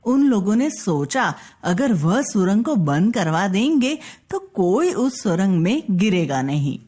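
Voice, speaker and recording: A woman's voice: mid-range voice, big pitch swings, slow pace, dark tone. A woman reading a scripted children's bedtime story as narrator.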